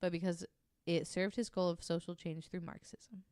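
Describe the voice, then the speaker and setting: A woman hosting a podcast, casually narrating a researched story from notes in an informal, halting way. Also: lowish voice, fairly even intonation, a little mellow, smooth, dry recording.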